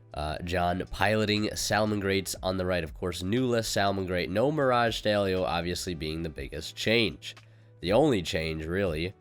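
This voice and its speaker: A man with a cold, his voice a bit off, giving relaxed, casual voice-over commentary on his own card game match, with lots of fillers and self-corrections. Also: low-pitched, clear, resonant tone. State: has a cold, voice slightly off. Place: voice-over recorded separately from the match footage.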